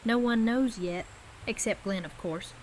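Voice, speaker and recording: A woman's voice: on the high side, a little slow, a little mellow, a little hiss or hum, lightly acted, poor audio. An actress playing a caring, practical young woman in an audio drama, speaking in an informal, plain way. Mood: confiding.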